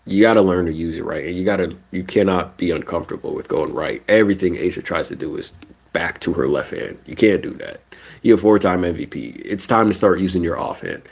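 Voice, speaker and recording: A man's voice: low voice, fairly quick, vocal fry, slightly rough. Stuffy-nosed man ranting about basketball. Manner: animated ranting, casual slang. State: stuffy, nasal from a cold. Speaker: sports streamer. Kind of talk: live stream basketball commentary.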